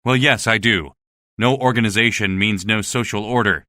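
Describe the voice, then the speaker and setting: A man's voice: lowish voice, steady tone, moderate pace, bright, forceful tone, no echo, clean studio sound. A man playing an anxious, earnest survivor in a dramatized story, questioning and incredulous in manner. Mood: alarmed, despairing. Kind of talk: dramatized audiobook dialogue.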